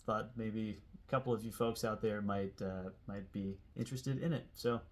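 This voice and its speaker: A man's voice: on the low side, fairly even intonation, normal speed. An American man in his forties tells a personal story in a casual, conversational way. His delivery is informal and rambling, as if talking to viewers he knows. He puts extra emphasis on key words as he goes. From Seattle area, United States. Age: 40s. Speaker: host telling a personal story.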